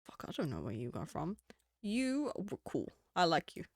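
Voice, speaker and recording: Gravelly Kiwi streamer, lively voice. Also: medium pitch, normal speed, no echo, studio quality. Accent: New Zealand. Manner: rambling and swearing, with frequent laughs. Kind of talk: casual live-stream chat.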